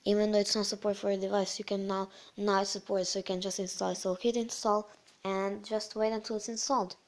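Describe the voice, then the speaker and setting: A host casually talking through a phone tutorial over a screen recording, her voice a bit croaky, often repeating words and filling with "so yeah". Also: mid-range voice, level tone, brisk. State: slightly croaky voice. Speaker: channel host.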